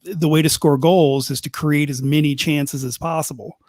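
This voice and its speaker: A man co-hosting a soccer podcast, laying out an argument in quick bursts and repeating words as he builds it. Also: a little high, fairly even intonation, a little mellow, no echo, studio quality. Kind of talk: casual analytical chat.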